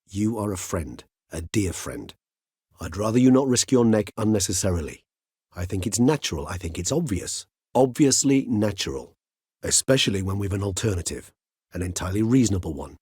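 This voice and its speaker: An actor playing an erudite, courteous, weary male higher vampire in a fantasy game, speaking formal, wordy, old-fashioned English in a husky voice. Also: no echo, clean studio sound. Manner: formal, wordy, archaic gentlemanly diction. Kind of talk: fantasy game character dialogue.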